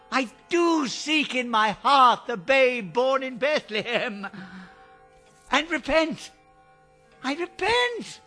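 An old miser in a radio play, very high-pitched and bright. He is excitable and breathless, stammering and repeating himself theatrically, with music underneath. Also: exaggerated voice. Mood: awed relief. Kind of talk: radio drama acting.

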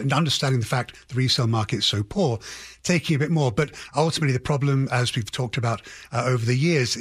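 A man speaking as an expert guest in a radio interview, analysing in a halting way with qualifiers and emphatic restatements. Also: mid-range voice, breathy edge, dry recording, clean studio sound. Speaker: housing economist guest.

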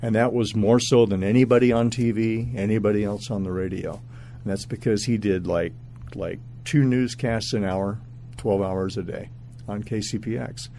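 Male American former DJ, mature, casual interview; mellow lowish voice over audible hum. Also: steady tone, moderate pace. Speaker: former radio disc jockey, interviewee.

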